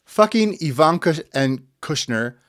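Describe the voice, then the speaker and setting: A man's voice: fairly high pitch, expressive, unhurried, a bit bright, no echo, studio quality. A man from the United States hosting a podcast, giving angry, blunt political commentary and swearing freely. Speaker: podcast host.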